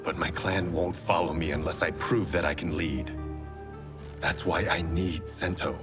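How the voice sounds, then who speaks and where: A man's voice: deep voice, fairly even intonation, measured pace, over music. An actor playing a proud, determined male swordsman in a video game, with an earnest, terse and intense manner. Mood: resolute. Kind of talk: cinematic video game dialogue.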